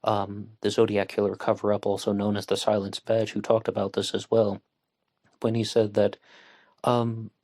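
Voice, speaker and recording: Low-voiced man hosting true crime. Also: completely flat delivery, darkish tone. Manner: earnest, measured, with frequent fillers. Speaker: podcast host. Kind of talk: true crime podcast monologue.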